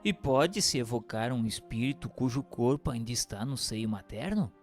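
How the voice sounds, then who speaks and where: A man's voice: medium pitch, expressive. Formal male narrator in long reverb. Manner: formal, measured, didactic. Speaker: narrator and questioner. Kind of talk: scripted narration of a religious text. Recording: hall-like reverb, music bed.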